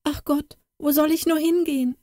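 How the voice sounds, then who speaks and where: A woman's voice: high-pitched, moderate pace, mellow, no room sound, studio quality, voice pushed up. Woman voicing a frightened young strawberry girl. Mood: pleading.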